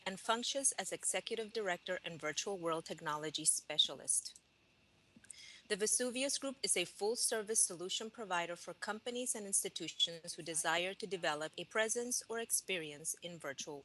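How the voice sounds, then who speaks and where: A woman reads a formal scripted introduction as conference host. Her voice is low-pitched yet bright and crisp. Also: slight background noise, rough recording.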